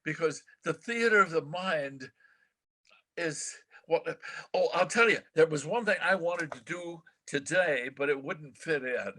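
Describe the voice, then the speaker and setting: An older American man with a husky voice, reminiscing casually as a veteran broadcaster in a halting, rambling, animated way. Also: fairly high pitch. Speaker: veteran radio broadcaster, guest. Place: remote video call.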